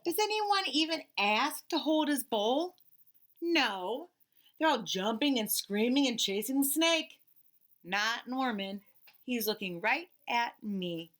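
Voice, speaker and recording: A woman narrating a children's story aloud in an expressive, emphatic way with dramatic pauses. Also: fairly high pitch.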